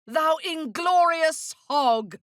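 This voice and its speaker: A woman playing a ruthless, proud female dark elf warrior in a video game, speaking in a haughty, deliberate, menacing way. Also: sits high, lively intonation, no room sound, clean studio sound, heavily acted. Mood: sharp, commanding. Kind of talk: video game character dialogue.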